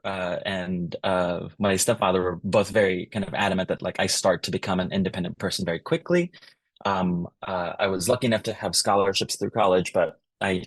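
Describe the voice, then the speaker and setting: A low-voiced man from the Philippines answers interview questions in a loose, halting way, full of ums and uhs. His voice is close and dry on the mic. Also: dry recording. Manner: hesitant, lots of ums and uhs. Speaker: guest, wine professional.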